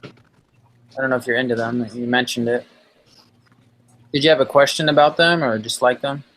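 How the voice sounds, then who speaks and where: A man's voice: mid-range voice, steady tone, normal speed, fairly bright tone, a little hiss or hum, low-quality recording. Male stream guest, casual, hesitant, restarts a lot. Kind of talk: casual conversation.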